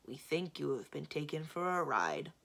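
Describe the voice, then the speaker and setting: A woman voicing a glum, loyal male monster with several heads, speaking in slow, mournful, ungrammatical phrases. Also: sits low, very animated, creaky, a bit bright, exaggerated voice. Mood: patient, weary. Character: three-headed male monster, glum, plodding, uneducated, loyal.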